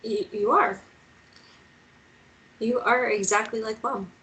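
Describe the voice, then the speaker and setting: A woman's voice: fairly high pitch, fairly even intonation, slow, pushed and bright, low-quality recording. A woman playing a sceptical young woman who asks direct, probing questions in a script table read. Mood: curious, challenging. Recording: noticeable background noise.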